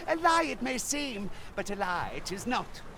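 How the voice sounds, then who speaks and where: An actor playing a whimsical old storyteller in a video game, musing theatrically in archaic phrasing. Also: male voice, very high voice, big pitch swings, slow, creaky, sharp tone, a little hiss or hum, full character voice. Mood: musing, wistful. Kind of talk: video game character dialogue.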